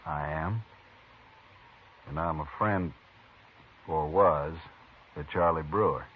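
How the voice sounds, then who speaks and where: A man's voice: very deep voice, slow. An actor playing a stubborn, vengeful male frontiersman in a 1950s radio drama, blunt and threatening. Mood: menacing. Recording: tinny old radio broadcast with hiss.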